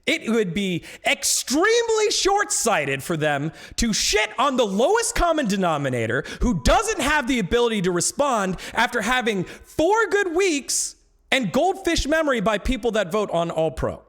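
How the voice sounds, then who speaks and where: A man's voice: very high-pitched, huge pitch swings, normal speed, fairly bright tone. A man talking casually on a live stream about esports, ranting with growing indignation.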